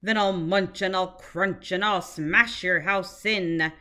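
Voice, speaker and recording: Woman doing a big bad shark, booming. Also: fairly even intonation, slow pace, pushed and bright. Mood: menacing. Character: big bad male shark, menacing and loud.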